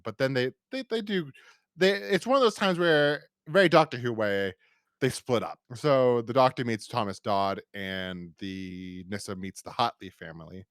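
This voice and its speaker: A man's voice: fairly low pitch, a bit bright, no room sound, studio quality. A man hosting a podcast, talking casually in a rambling, opinionated and emphatic way as he reviews. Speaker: podcast host.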